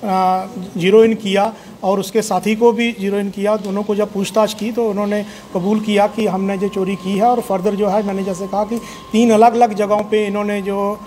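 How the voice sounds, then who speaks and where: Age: middle-aged. Sex: man. Role: police officer. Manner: measured, hesitant, formal. Pitch: high, flat. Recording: press mics, faint noise. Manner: measured, hesitant with frequent fillers, formal appeal. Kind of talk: press conference statement. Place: indoor police station room. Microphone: table of press microphones.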